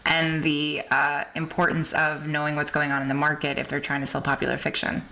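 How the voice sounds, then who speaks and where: A woman's voice: low voice, monotone, a little fast, vocal fry, bright, forceful tone, a little hiss or hum, low-quality recording. A woman chatting casually as a podcast host interviewing a guest.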